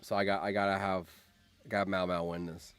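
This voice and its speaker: A man hosting a live stream, reading out game events and reacting in an animated, exclamatory way with repeated phrases. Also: low voice, brisk, smooth.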